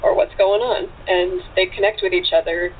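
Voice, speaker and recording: A woman's voice: fairly low pitch, steady tone, moderate pace. A woman talking casually as a guest in a remote group interview. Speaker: cast member being interviewed. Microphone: online call. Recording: tinny phone-like call with background noise.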